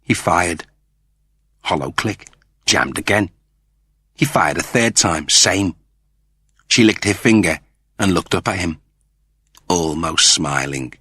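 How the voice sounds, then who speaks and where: A man reading scripted fiction as an audiobook narrator, with animated, theatrical storytelling. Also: deep voice, slow, pauses often, breathy edge, no echo, studio quality.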